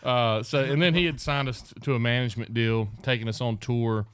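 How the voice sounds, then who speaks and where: A man's voice: medium pitch, fairly even intonation, a little fast, a bit bright, smooth, dry recording. A man telling casual stories as a podcast guest, in an easygoing, laughing manner. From North Carolina, United States. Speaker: guest, country singer in a duo.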